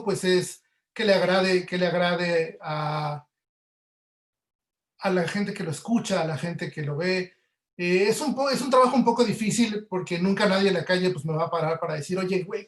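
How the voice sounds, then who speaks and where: Male, Mexican accent, high-pitched voice. Conversational webinar guest, audio professional, recorded with noticeable room echo. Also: moderate pace. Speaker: guest audio producer and Foley artist. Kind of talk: conversational webinar interview answers. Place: indoor room, online webinar call. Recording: roomy, echoey sound.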